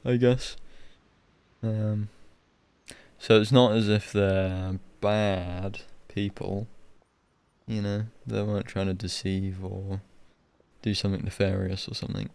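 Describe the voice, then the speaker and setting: Low-voiced, offhand male game streamer. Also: little pitch movement, slow, gravelly, long pauses, darkish tone, smooth. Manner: rambling, slangy, offhand. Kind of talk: casual live gameplay commentary. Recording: faint game music behind. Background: faint game music.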